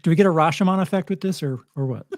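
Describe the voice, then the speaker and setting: A man with a slightly high voice takes part in a casual livestream panel. His speech is informal and conversational, and the sound is dry and close. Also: racing, no room sound.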